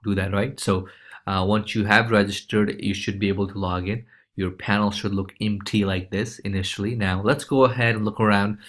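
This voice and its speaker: Low-pitched man giving a casual software tutorial. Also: fairly even intonation, moderate pace. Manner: informal, loose, repeats check-in tags like okay and right. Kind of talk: casual screencast walkthrough.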